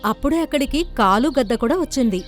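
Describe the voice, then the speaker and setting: A woman's voice: high voice, expressive, quick pace. A woman narrator reading a scripted children's story. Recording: background music under the voice.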